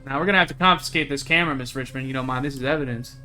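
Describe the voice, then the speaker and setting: A serious small-town deputy in a horror game, voiced by a man, stiff and formal. He speaks with procedural insistence in a bright, firm tone, with music underneath. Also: mid-range voice, racing. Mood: stern. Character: serious, officious male deputy, curt and formal.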